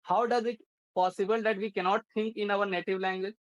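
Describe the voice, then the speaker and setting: A man from India who speaks as his language, practicing English with a tutor in earnest, halting sentences. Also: sits high, level tone, dry recording, dull audio. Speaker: English learner.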